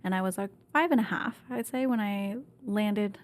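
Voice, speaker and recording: A woman's voice: mid-range voice, moderate pace. Chatty woman guest on a studio mic. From Vietnam, raised in Canada. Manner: chatty, laughs often, many fillers and restarts. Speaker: podcast guest. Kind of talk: candid conversational interview. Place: bright podcast studio. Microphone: desk mic on boom arm.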